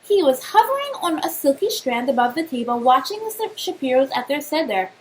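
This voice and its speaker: Woman, high voice, expressive storybook narration, teacher questioning children, faint background noise. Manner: expressive, pauses to question young listeners. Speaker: teacher reading aloud to children.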